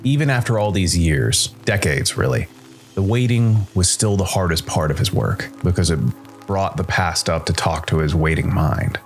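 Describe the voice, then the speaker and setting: A man's voice: low voice, lively intonation, normal speed, soft background music. A man narrating scripted noir science fiction as the host of a storytelling show.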